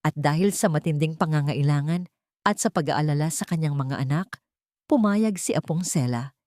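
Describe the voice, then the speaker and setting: Female storyteller, lower register, dry close sound, solemn and deliberate dramatic narration. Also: a little mellow, dry recording.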